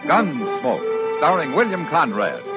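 Male announcer, 1950s radio western opener. Declamatory and dramatic, highly varied pitch, loud music behind. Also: wildly swooping pitch, slow pace. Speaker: program announcer. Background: loud theme music.

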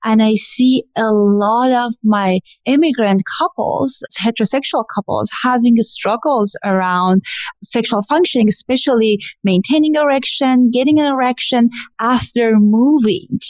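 A woman's voice: fairly high pitch, measured pace, a little mellow, dry recording. Iranian-accented woman host, dry close sound. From Iran. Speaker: podcast host and therapist. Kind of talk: interview questions.

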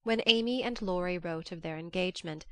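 A woman reads classic fiction as an audiobook narrator. Her voice is a little low, and her scripted delivery is expressive and literary. The sound is very dry, with no room echo. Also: brisk, clear, resonant tone.

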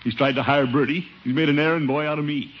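An actor playing a pompous, put-upon middle-aged uncle in a 1950s radio sitcom, blustery and exasperated. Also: male voice, on the high side, fairly even intonation, quick pace, lightly acted. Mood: grumbling. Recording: muffled, very noisy old radio recording.

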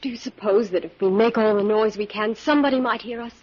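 Muffled old radio drama with a woman acting a frightened young captive. Her voice is fairly high and breathy, with emotional period delivery. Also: quick pace, lightly acted. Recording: dull 1940s radio recording.

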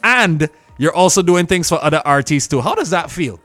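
A man's voice: a little high, lively intonation, normal speed, fairly bright tone, no echo, soft background music. A man hosting a casual radio interview in an upbeat, informal, affirming way.

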